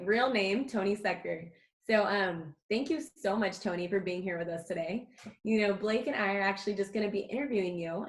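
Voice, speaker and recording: A young woman opening an online festival and hosting an interview over a video call with an upbeat, welcoming manner. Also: mid-range voice. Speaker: interview host for a student festival.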